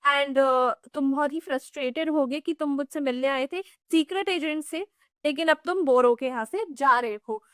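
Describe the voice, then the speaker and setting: A woman's voice: high-pitched, normal speed, a bit bright, no room sound, clean studio sound. A young Indian woman teaching an exam revision lesson in a chatty way, switching languages and often saying "you know". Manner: chatty code-switching explainer with many "you know" fillers. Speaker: English teacher.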